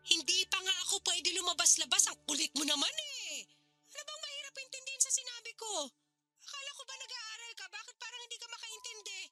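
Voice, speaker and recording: A woman's voice: very high voice, animated, quick pace, music in the background. A woman playing a selfish, defensive pregnant mother in a Filipino radio drama, with a whiny, exasperated, dismissive delivery. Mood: impatient, dismissive.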